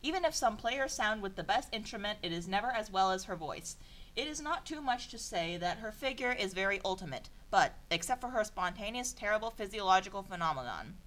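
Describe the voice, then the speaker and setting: A woman's voice: mid-range voice, animated, fairly quick, fairly bright tone, poor audio. A woman doing an informal dramatic reading of a story, with sardonic, exasperated delivery and casual asides. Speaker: narrator of a comedic fan fiction reading.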